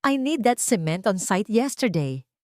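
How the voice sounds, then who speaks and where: A woman's voice: medium pitch, very animated, measured pace, darkish tone, no echo, studio quality, pitched above the performer's own voice. Woman acting out an arrogant, hot-tempered rich executive man. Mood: angry, shouting. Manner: scornful, code-switching into English.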